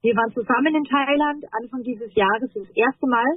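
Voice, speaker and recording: A woman's voice: fairly high pitch, normal speed, slightly rough, no echo. Female singer, phone guest, chatty, tinny and dry. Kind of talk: casual phone interview. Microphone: telephone.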